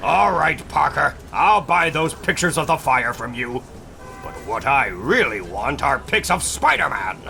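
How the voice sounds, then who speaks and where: A man's voice: medium pitch, very animated, a little slow, creaky, bright, forceful tone, faint music behind, exaggerated voice. A man playing a blustering, greedy newspaper boss, bossy and emphatic, in a dramatic comic book reading. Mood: gruff demanding.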